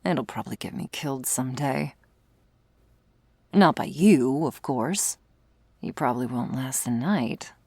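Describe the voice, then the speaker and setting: A sardonic female vampire, played by a woman, murmurs to her prey in a deep voice full of creak. She is wry, possessive and secretly wistful, drifting toward breathy whispers. The ASMR roleplay sound is very close and dry. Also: a little slow, long pauses, mellow, no room sound, exaggerated voice. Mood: wry, hungry. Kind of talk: intimate ASMR roleplay, scripted monologue to listener. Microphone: close, dry studio mic.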